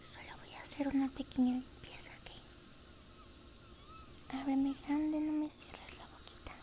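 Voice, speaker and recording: A woman doing an ASMR roleplay as a dental hygienist, speaking softly and breathily in a tender, soothing way, close to the microphone. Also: sits high, flat delivery, a little slow. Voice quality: soft whispery, breathy. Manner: tender, soothing, uses many diminutives. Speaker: ASMR creator role-playing a dental hygienist.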